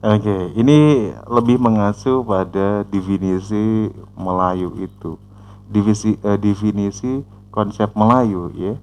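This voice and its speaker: A man hosting a reaction channel who comments on a video in a measured, explanatory way, often pausing with "eh" and tagging sentences with "ya". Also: fairly low pitch, a little mellow, low-quality recording. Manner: measured, explanatory, frequent "eh" fillers and "ya" tags.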